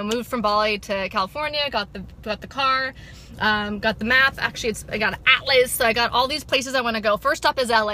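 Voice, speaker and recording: A woman in her thirties talks to her viewers from a parked car, her voice bright and a touch high. She is chatty and encouraging, full of ums and restarts, speaking close to a phone held at arm's length. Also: slight background noise. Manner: upbeat, encouraging, chatty with many fillers. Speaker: sobriety coach and vlogger. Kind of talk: casual motivational vlog. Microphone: phone camera at arm's length.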